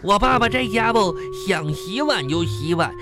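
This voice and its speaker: A chubby little child, acted by a woman in a comedy radio play, earnest and cheeky, bragging in halting, childish phrases. The words come with simple repetitions and playful sound imitations. Loud music plays behind the voice. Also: medium pitch, lively intonation, close to an everyday voice. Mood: admiring, boastful. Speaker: comedy radio show host.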